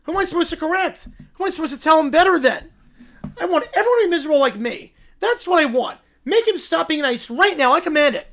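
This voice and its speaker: A man's voice: extremely high pitch, animated, a bit bright, voice pushed up, exaggerated voice. A man voicing a childish, bossy sports fan who whines petulantly and wants everyone to be miserable. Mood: bossy, exasperated. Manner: whining, petulant, drawn-out complaints.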